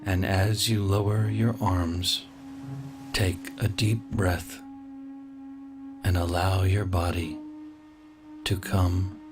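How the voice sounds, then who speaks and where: A man guiding a sleep meditation, giving slow, soothing, gently paced instructions. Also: deep voice, little pitch movement. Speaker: meditation guide. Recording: soft music clearly behind the voice.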